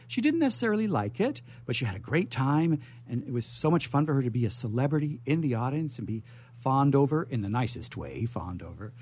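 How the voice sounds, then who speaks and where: Playful male radio host, very animated pitch. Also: husky. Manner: playful, rambling, theatrical phrasing. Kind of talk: live, off-the-cuff radio introduction.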